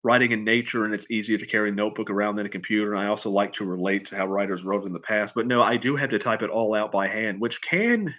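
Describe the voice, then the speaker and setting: Low-voiced man, halting livestream chat. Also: dull audio. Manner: halting, repeats and restarts phrases often, rambling. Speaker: writer hosting a livestream Q&A. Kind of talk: casual unscripted chat, answering viewer questions.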